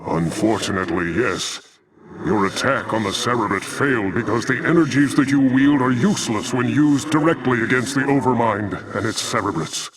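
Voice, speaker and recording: An actor playing a noble, calm and resolute alien commander in a video game, speaking formally and gravely. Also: male voice, medium pitch, normal speed, far from the mic, a crowd in the background, natural voice. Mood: solemn, explaining. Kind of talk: scripted video game acting.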